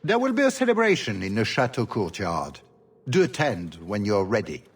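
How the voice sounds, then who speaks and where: Suave, wildly expressive duke. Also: male voice, lowish voice, normal speed, husky. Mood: grandly gracious. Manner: urbane, flowery, mockingly courteous. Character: suave, theatrical, sardonic Orlesian duke hosting festivities. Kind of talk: video game cutscene acting.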